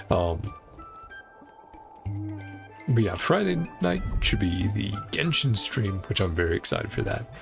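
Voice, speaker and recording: Animated male game streamer with creaky voice. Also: on the low side, takes it slowly, pauses often, over music. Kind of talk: casual livestream commentary, reading game dialogue aloud.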